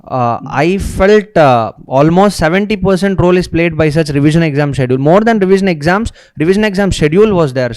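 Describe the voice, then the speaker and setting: A man's voice: fairly high pitch, fairly quick, hardly any pauses, a little mellow, no room sound, clean studio sound. A young man from India answering interview questions earnestly and respectfully, with many fillers and polite "sir"s. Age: young adult. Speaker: student interviewee.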